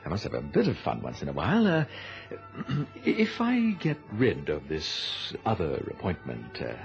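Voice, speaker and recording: Creaky, swooping, shifty charmer. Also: male voice, low voice, huge pitch swings, normal speed, faint music behind, heavily acted. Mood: flustered, coaxing. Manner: hesitant, wheedling, with throat-clearing. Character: shifty, flirtatious businessman, smoothly making excuses. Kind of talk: radio play acting. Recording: dull old radio recording.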